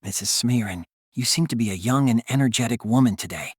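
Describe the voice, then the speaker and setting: A man's voice: medium pitch, fairly quick, slightly rough, no echo, clean studio sound, natural voice. A man playing a polite, understated young graduate in an audiobook drama. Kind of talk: audiobook character dialogue and inner thoughts.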